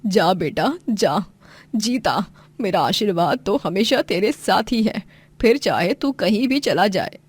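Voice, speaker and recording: A woman's voice: medium pitch, expressive, a little slow, husky, dry recording. A woman voicing a doting, devout old village mother who fusses fondly, in a moral story. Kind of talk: dramatized Hindi moral story.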